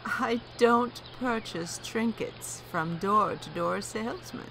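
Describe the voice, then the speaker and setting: A woman playing a polite, wary elderly widow in an audio drama, speaking slowly and carefully. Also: medium pitch, gravelly, noisy background. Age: older. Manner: polite, wary, slow and lilting. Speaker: homeowner.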